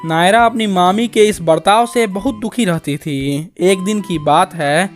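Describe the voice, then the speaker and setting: A woman's voice: on the low side, a little slow, soft background music. A woman reading scripted narration for a cartoon story.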